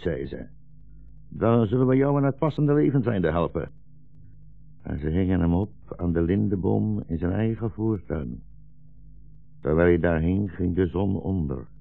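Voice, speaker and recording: An actor playing a weary, gentle old blind rabbi in a 1960s radio drama, speaking slowly and solemnly as a philosophical storyteller. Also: male voice, deep voice, very animated, vocal fry, soft background music, full character voice. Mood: sombre, reminiscing. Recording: dull, roomy 1960s radio recording.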